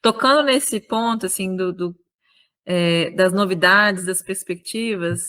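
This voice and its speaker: A woman's voice: mid-range voice, a bit bright. A woman hosting an interview, speaking in a friendly, chatty way. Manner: friendly, chatty, with quick laughs. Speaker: host, lawyer and columnist. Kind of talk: casual interview chat.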